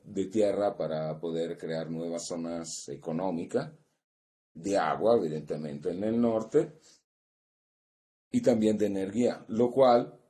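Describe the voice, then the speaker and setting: Low, mellow man presenting. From Italy. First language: Italian. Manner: measured, working from notes, pausing to find words. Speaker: seminar presenter. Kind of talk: academic conference presentation. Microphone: video call.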